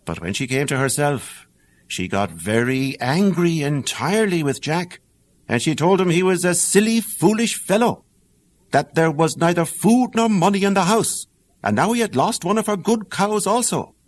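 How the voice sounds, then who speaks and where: A man with an Irish accent narrating a fairy tale audiobook in a lilting, animated storytelling manner. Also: a little high, moderate pace.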